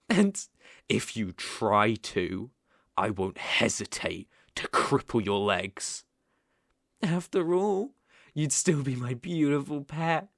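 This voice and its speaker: A man performs a possessive young British boyfriend in an intimate ASMR audio drama. His speech is slow and deliberate, with a creaky edge and soft, coaxing menace. The sound is close and dry. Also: on the high side, dry recording, heavily acted. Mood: possessive, quietly menacing. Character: obsessive, possessive, menacing young boyfriend holding partner captive. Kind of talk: intimate ASMR roleplay acting.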